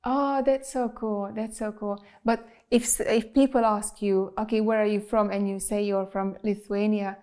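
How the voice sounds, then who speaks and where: A woman's voice: medium pitch, flat delivery, normal speed, hardly any pauses, a little mellow. A woman hosting an online interview show, greeting her guest and asking friendly, encouraging questions. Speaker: podcast host. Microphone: video call setup.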